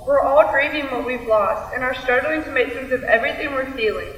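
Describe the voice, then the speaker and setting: A woman's voice: high voice, fairly quick, fairly bright tone. A young woman student performer reciting a scripted readers theater piece. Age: young adult. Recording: echoey hall, distant mic.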